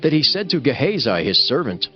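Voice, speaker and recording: Guy reading bible audiobook, solemn, pitch moves a lot, music under. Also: very animated, a little fast, highs cut off. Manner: formal, solemn storytelling. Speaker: narrator. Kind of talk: scripted scripture audiobook narration.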